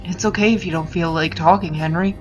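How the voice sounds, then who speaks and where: A woman's voice: on the low side, fairly even intonation, a little fast, darkish tone, lightly acted, rough recording. A woman voicing a considerate, curious disembodied voice inside a hero's head in a comic fan dub. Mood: reassuring. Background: music.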